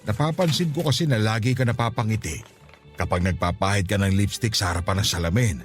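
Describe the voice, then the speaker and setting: A man's voice: on the low side, fast, close to an everyday voice. A man playing an affectionate, playful, ailing father in a radio drama, teasing and tender by turns. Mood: tender, sentimental. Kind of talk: Tagalog radio drama acting. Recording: music behind the voice.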